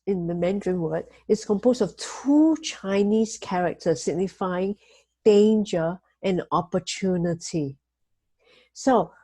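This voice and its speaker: Gentle woman, mellow and breathy. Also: medium pitch, no echo. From Singapore. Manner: gentle, measured, frequent "you know" fillers. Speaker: host giving weekly life tips. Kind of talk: motivational talk with slides.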